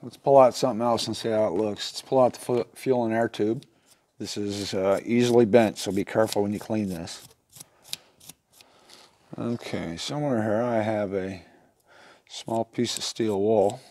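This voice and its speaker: A man in his fifties giving a casual, plainspoken, step-by-step repair tutorial at an unhurried, instructional gait. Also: lowish voice, steady tone, darkish tone. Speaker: instructor demonstrating lantern repair. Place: indoor wooden workshop at a workbench.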